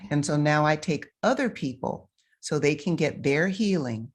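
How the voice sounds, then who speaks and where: Deep-voiced motivational woman guest. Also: expressive, moderate pace. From United States. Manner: emphatic, motivational. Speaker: fitness and mind-body coach, guest. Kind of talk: casual interview talk.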